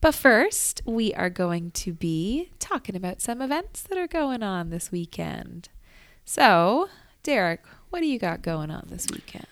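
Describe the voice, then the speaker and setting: A woman's voice: medium pitch, sing-song, measured pace, no echo. A woman co-hosting a radio show, chatting casually and introducing segments and events. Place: on location at a church.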